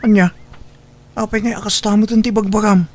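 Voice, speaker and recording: A man's voice: high-pitched, flat delivery, normal speed, faint music behind, natural voice. A man playing a shy, inexperienced young boyfriend in an audio drama, hesitant and plaintive. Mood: hurt.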